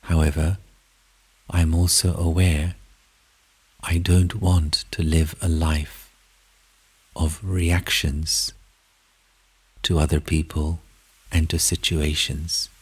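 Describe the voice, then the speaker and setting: A man leading a guided meditation, speaking in measured short phrases with long pauses, soft and at times almost whispering. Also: very deep voice, little pitch movement, slightly rough.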